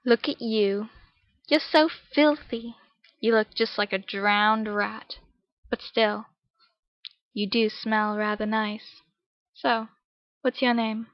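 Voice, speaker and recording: A woman's voice: on the high side, steady tone, takes it slowly, pauses often, dry recording, muffled recording, voice pushed down. Woman voicing a haughty, mocking young boy.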